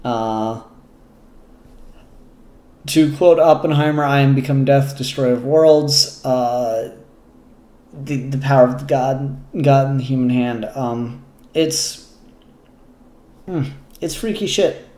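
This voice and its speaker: A man hosting a casual, unscripted review show, talking in a halting way with pauses mid-sentence and casual swearing. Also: medium pitch, warm tone. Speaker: comic book reviewer and show host. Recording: roomy, echoey room sound.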